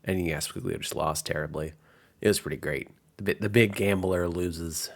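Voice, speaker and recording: Man, game master, deepish pitch, heavy vocal fry, informal tabletop narration and commentary. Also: fairly even intonation, normal speed. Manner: informal, explanatory.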